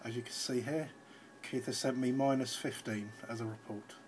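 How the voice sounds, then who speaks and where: Guy doing a casual ham radio demo, pretty monotone, mid pitch, handheld phone. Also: moderate pace. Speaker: amateur radio operator demonstrating. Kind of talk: informal live screen demonstration. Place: indoors at a radio station desk. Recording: noisy handheld phone recording.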